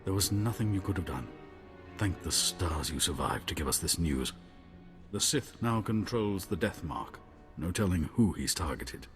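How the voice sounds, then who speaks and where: Deep, animated courtly count. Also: male voice, normal speed, faint music behind. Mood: gracious, concerned. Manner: formal, expressive. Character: courtly nobleman, count, gracious and grave. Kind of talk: video game cutscene acting.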